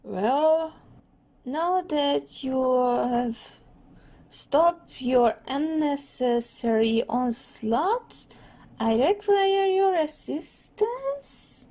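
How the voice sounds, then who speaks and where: A woman's voice: high-pitched, lively intonation, very slow, dark tone, voice pushed up, heavily acted. Woman voicing a wordy, wry boy genius. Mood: stiff, formal. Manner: pedantic, wordy, sarcastic. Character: trapped male teenage tech genius, wordy, wry, anxious. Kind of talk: comic dub character acting.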